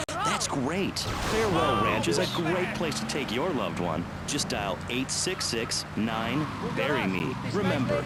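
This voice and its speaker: An actor playing a self-absorbed, earnest public radio presenter in a satirical video game pledge drive. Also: male voice, mid-range voice, heavy background noise, natural voice.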